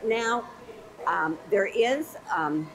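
A woman's voice: medium pitch, lively intonation, very slow, noisy background. An older woman, hosting a local TV interview, eager and a bit tongue-tied in her questions. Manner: warm, enthusiastic interviewer, stumbles over words. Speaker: TV host. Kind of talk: casual interview. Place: indoor children's museum play area.

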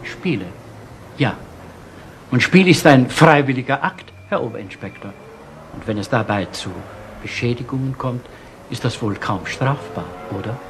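An actor playing a genteel, evasive professor with a measured, stilted way of talking in a 1970s German crime radio play. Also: male voice, mid-range voice, expressive, natural voice. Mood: smug, guarded. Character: genteel, smug male professor, evasive and formal. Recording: noisy vintage radio drama recording.

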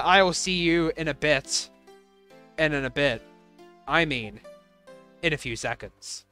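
A young man with a bright, fairly high voice rambles through commentary on levels he built. He stumbles, restarts and sells his own work short. Faint game music plays under his voice. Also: clear, resonant tone. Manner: rambling, self-deprecating, many fillers and restarts. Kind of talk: casual gameplay commentary.

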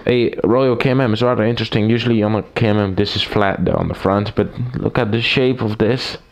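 Male hobbyist reviewer, lowish raspy voice, cold, faint background hiss. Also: fairly even intonation, brisk. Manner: rambling, with frequent fillers and restarts. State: recovering from a cold. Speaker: typewriter collector reviewing a machine. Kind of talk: casual hands-on review. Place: small room at a desk, indoors. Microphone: camera mic, speaker off-camera.

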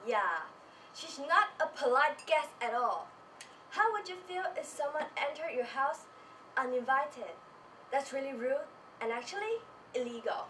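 High teenage female presenter in echoey room. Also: animated, unhurried, fairly bright tone. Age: teens. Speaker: student presenter. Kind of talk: scripted stage presentation. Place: small presentation stage indoors. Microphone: far camera. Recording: echoey room, distant mic, faint noise.

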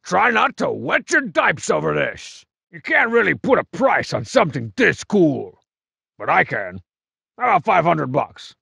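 An actor playing a cocky, boastful, juvenile cartoon villain for a comedy video game, with a raspy growl and mocking, slangy tough-guy delivery. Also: on the high side, sing-song, measured pace, pushed and bright, dry recording, studio quality, exaggerated voice. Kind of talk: exaggerated comic video game character acting.

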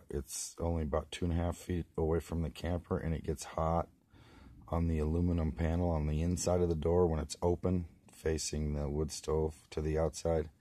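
Hoarse man, middle-aged, rambling casual vlog, handheld phone in a greenhouse, dry close sound. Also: sits low, warm tone, no echo. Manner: rambling, many restarts and fillers. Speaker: vlogger. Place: small plastic greenhouse, indoors. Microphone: phone camera held close.

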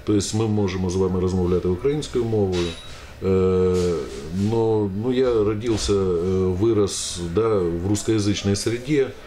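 A man in his fifties from Ukraine, hosting a sit-down interview, speaking in a measured, hesitant way with frequent pauses, on a table microphone in a small indoor cafe. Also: fairly low pitch, mellow, a little hiss or hum. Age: 50s. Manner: measured, hesitant, pausing often. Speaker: interviewer, host. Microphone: table stand microphone.

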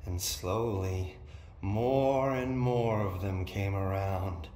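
An actor playing an eerie, unhinged possessed brother in a video game, recounting his dreams in a dreamy, deliberate way. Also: low voice, warm tone. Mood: eerily serene. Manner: dreamy, deliberate, unsettling storytelling. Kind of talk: video game dramatic monologue. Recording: roomy echo, faint background noise.

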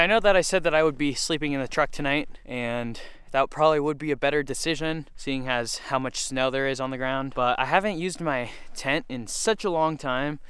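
A man's voice: mid-range voice, clear, resonant tone, no room sound. A young man vlogging about his camping trip in a casual, chatty way, laughing between remarks, recorded on a camera held at arm's length, first inside a truck and then outdoors in the snow. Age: young adult. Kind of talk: casual vlog narration. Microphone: handheld camera at arm's length.

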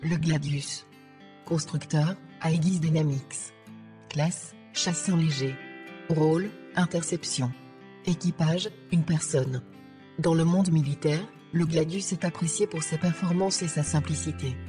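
A synthetic female voice of an AI assistant, giving formal, measured, deadpan scripted information. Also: deep voice, faint music behind. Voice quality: synthetic text-to-speech voice. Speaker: AI ship assistant.

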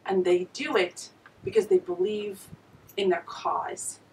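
A woman's voice: fairly low pitch, sing-song, slow pace, vocal fry, a little mellow, far from the mic, slight background noise, poor audio. A woman in her thirties from Romania with a Romanian accent, talking earnestly to the camera in a reflective vlog and often restarting her phrases. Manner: earnest and gesturing, with many restarts. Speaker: vlogger.

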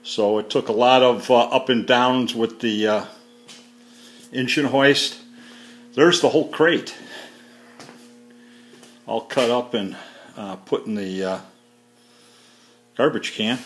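A man's voice: mid-range voice, slow pace, long pauses, slight background noise. A man casually walking through a garage, talking off the cuff with lots of fillers in a matter-of-fact way, filmed on a handheld phone. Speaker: home mechanic reviewing a tool. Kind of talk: casual unscripted video walkthrough. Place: home garage.

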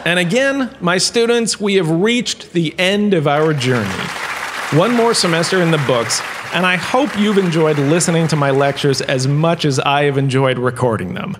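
In long hall reverb, a man acts out a vain professor of galactic history in a comic audio lecture. The voice sits a little high and swings widely in pitch, with showy, pompous delivery. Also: animated, moderate pace, some chatter behind, slight background noise, natural voice. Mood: lecturing.

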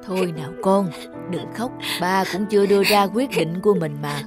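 Kindly village father for animation, male actor: gentle, coaxing, devoted, over clear music. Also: high voice, normal speed, lightly acted. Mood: gentle concern. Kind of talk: cartoon dub acting.

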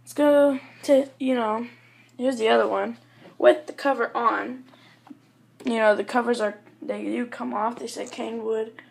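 A woman giving a casual, rambling home review in a halting, offhand manner. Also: fairly high pitch, steady tone, creaky, slightly rough. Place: small bedroom at home, indoors. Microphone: handheld camera or phone.

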